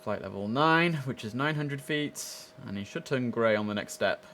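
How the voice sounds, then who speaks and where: A man's voice: medium pitch, animated, moderate pace, a bit bright, slight background noise. Male game streamer, informal and chatty, talking through tower control work over noticeable vehicle ambience. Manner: chatty, informal, thinks aloud. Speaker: streamer playing an air traffic control simulator. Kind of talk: casual live gameplay commentary.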